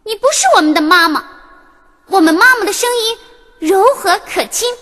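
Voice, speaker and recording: A woman's voice: extremely high pitch, moderate pace, pushed and bright, pitched above the performer's own voice. A woman voicing young goat kids, childlike and emphatic, in a children's storybook tale. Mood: defiant. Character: little young goat kids, wary and defiant. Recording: reverberant hall-like echo.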